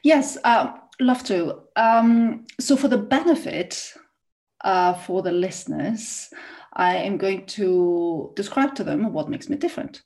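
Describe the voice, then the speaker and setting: A woman's voice: mid-range voice, slow pace, darkish tone. A middle-aged woman from South Korea who grew up in Austria, speaking as a podcast guest in a reflective way with many hesitations. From born in South Korea, raised in Austria. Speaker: guest, intercultural consultant. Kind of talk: podcast interview.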